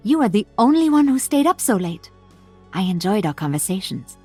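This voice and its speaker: A woman's voice: medium pitch, sing-song, moderate pace, warm tone, slightly rough. A woman playing a principled, kindly battlefield medic in a video game. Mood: warm. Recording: music behind the voice.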